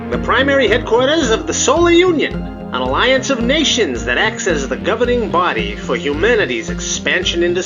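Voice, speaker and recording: A man's voice: sits high, poor audio. A man reading scripted narration for a science-fiction audio drama.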